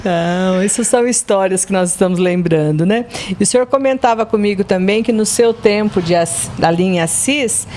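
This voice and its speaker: A woman's voice: medium pitch, moderate pace, hardly any pauses, a little mellow, slight background noise. A woman interviewing an elderly priest, asking polite and respectful questions.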